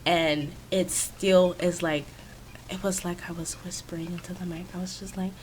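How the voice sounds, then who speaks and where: A woman with a raspy, stuffy voice, chatting casually and rambling with many fillers, recorded on a sensitive microphone in her home office. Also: fairly low pitch, steady tone, moderate pace, noisy background. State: stuffy from sinus trouble. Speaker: video creator. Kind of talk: casual commentary over a speed-build. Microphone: new sensitive desk microphone.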